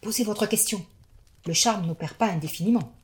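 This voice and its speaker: An actress playing a cold, haughty sorceress with an amused, faintly scornful way of talking. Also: female voice, mid-range voice, moderate pace, lightly acted. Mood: cool. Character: cold, mocking female sorceress.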